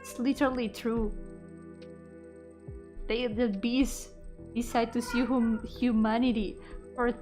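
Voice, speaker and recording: High-voiced woman streaming. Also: flat delivery, slow pace, long pauses. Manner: playful, switches between English and Spanish. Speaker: VTuber streamer. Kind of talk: casual livestream chat with viewers. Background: music.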